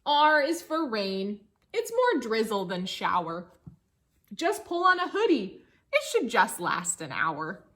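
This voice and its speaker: A woman teacher reading a rhyming picture book aloud to children in a singsong way, pausing with short asides to engage her listeners. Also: fairly high pitch, huge pitch swings, fairly bright tone.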